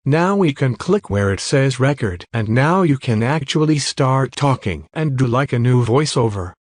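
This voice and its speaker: A man giving step-by-step software tutorial narration in a plain, instructional manner. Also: mid-range voice, lively intonation, moderate pace, dry recording, studio quality. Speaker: tutorial presenter.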